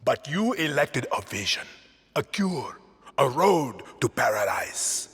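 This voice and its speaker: Authoritarian president, Latin American accent, slow measured delivery, hugely varied intonation, menacing, smug, video game drama. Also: male voice, mid-range voice, sing-song, creaky, fairly bright tone, slightly rough, in a large hall, faint music behind, full character voice. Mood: solemn, grandiose. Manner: deliberate, theatrical, measured. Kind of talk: political speech and dramatic game acting.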